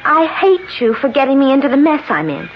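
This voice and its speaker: A spirited, scheming woman in desperate straits in 1970s radio style. Her high voice swoops theatrically with urgency. The recording is dull and noisy. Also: very animated, brisk. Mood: bitter. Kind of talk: 1970s radio drama acting.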